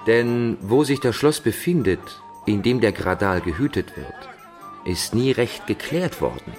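An eager young man steeped in knightly legends. His voice is fairly low, and music plays clearly behind him in this radio play. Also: animated, measured pace, darkish tone. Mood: earnest, insistent. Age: young adult. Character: eager young Frenchman versed in crusader legends. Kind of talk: radio drama acting.

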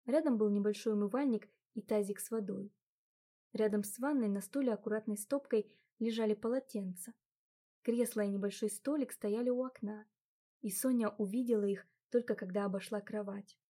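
A woman narrating an audiobook in an even, unhurried reading. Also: medium pitch. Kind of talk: scripted narration.

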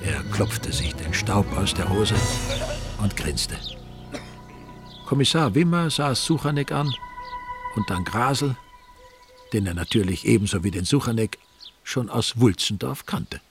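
A man's voice: fairly low pitch, animated, measured pace, pauses often. A man narrating a radio drama from a script, in a storytelling style. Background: music.

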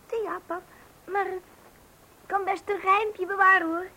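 A woman's voice: very high voice, fairly even intonation, moderate pace, sharp tone, exaggerated voice. A female performer in a radio play voicing an inquisitive, persistent young child with earnest, insistent questions, on a hissy old recording. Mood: earnest, confiding.

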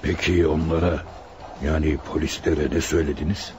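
A man acting a middle-aged scheming restaurant owner in a radio drama, measured and probing, feigning fatherly concern. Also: extremely low pitch, flat delivery, darkish tone, slight background noise. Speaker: voice actor. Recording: radio play with music underneath.

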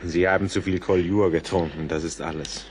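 An actor playing a courteous, rational gentleman writer in a radio drama, speaking in a formal, earnest way. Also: low voice, steady tone, fairly quick, a little hiss or hum, natural voice. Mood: sceptical, insistent. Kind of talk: German radio play acting.

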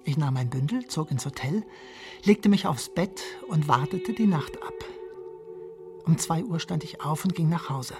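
An actor playing a jealous, childish husband in a radio drama, confessing his schemes in a measured first-person monologue. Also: a little high, expressive, over music. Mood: furtive, confessional.

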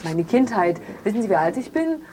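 A woman's voice: mid-range voice, very animated, a little fast, mellow, natural voice. A woman playing a defensive, indignant daughter accused of a crime, in a naturalistic audio drama.

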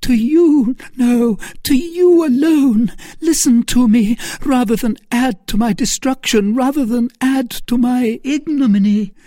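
A narrator voicing a melodramatic woman schemer in an audiobook novel, feigning pious innocence with breathy, imploring pleas. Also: medium pitch, unhurried, hardly any pauses, warm tone, no echo, clean studio sound, pitched above the performer's own voice, lightly acted. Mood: anguished pleading.

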